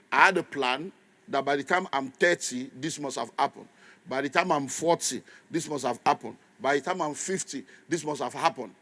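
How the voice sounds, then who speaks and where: A Nigerian man in his fifties preaching with animated energy. His voice sits a little high for a man, and he slips into Pidgin asides and laughs easily between his points. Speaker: pastor. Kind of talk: sermon.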